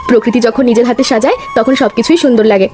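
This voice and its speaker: A woman's voice: on the high side, very fast, mellow, faint music behind, natural voice. A woman voicing an eager, helpful young daughter-in-law in a village cartoon, polite in manner. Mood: cheerful, helpful. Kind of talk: scripted cartoon dialogue.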